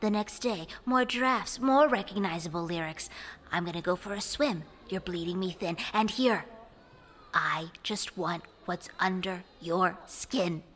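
A woman's voice: lowish voice, a little slow, a bit bright. A woman playing an eager, exacting museum director and scholar, speaking emphatically in an audio drama interview. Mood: intent, excited. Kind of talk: acted documentary interview. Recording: echoey hall with background noise.

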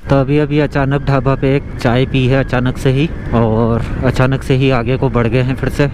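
A man's voice: medium pitch, normal speed. A man in his thirties from India, casually narrating a motorcycle trip on mountain roads as a travel vlogger. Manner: relaxed running commentary while riding. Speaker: motovlogger. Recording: wind and engine noise outdoors. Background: road and vehicle noise.

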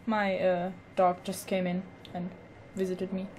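A woman hosting a casual let's play, commenting in a hesitant, low-key way. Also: monotone, a little slow, a little mellow, slight background noise. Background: video game ambience.

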